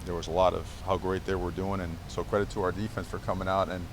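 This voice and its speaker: Emphatic coach at an outdoor presser. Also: male voice, low voice, little pitch movement, fast, a little hiss or hum. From Cuba. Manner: emphatic, repeats words, many fillers. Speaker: head football coach. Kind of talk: press conference answers.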